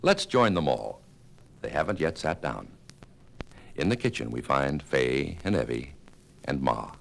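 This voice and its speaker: A man's voice: very deep voice, big pitch swings, a little slow, gravelly, slight background noise. A man announcing an old network radio serial with scripted delivery. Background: music.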